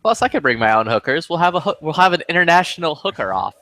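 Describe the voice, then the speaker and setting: A man's voice: on the high side, very animated, quick pace, sharp tone, no echo. A man chatting casually over a headset, joking crudely, swearing and laughing often. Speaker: lobby chat participant.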